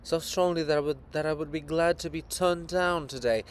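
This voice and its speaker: A man's voice: on the high side, steady tone, normal speed, lightly acted. A man playing the statue of an old fatherly poet, declamatory and anguished, in a dramatic reading. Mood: weary, anguished. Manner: declamatory, rhetorical. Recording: clearly noisy background.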